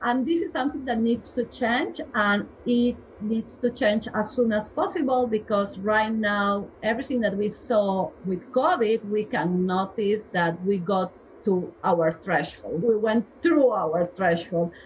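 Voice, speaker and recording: A woman's voice: mid-range voice, a little slow, highs cut off, a little hiss or hum. A woman in her forties from Peru with a Peruvian Spanish accent, a physician guest speaking earnestly and emphatically in a podcast interview.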